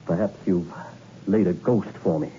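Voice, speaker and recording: An actor playing a dogged, jittery investigator in a 1960s radio thriller, with terse, probing, halting speech. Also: male voice, low voice, mellow, lightly acted. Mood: probing, stern. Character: dogged, jittery hard-boiled male investigator named Logan. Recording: dull, hissy old radio recording.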